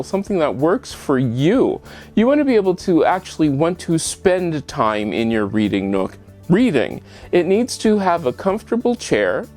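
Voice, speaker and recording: Male how-to vlog host, chatty and direct to viewer, slightly high voice over background music. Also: a little mellow. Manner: chatty, addresses viewer with rhetorical questions. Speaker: home show host. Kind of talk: casual how-to vlog presentation. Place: bedroom at home.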